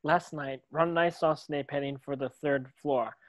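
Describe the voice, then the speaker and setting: Young schoolboy hero, read by a man, fairly high male voice, earnest and a little uneasy, dry close sound. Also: fairly even intonation, normal speed, dry recording, natural voice. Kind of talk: screenplay table read.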